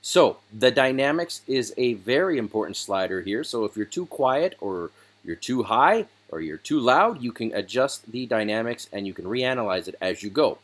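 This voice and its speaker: A man talks through a software tutorial in an easygoing, chatty voice, pausing for small fillers as he goes. His pitch sits in a middle range, and he speaks from a desk mic at his computer. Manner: casual, relaxed explaining with fillers. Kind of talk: screen-recording tutorial voice-over.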